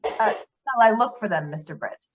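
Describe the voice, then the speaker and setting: Woman as an eager manservant, lively high lilt. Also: normal speed, dull audio, background noise, close to an everyday voice. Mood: eager, deferential. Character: deferential, eager male servant and helper. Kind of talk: old-time radio crime drama acting.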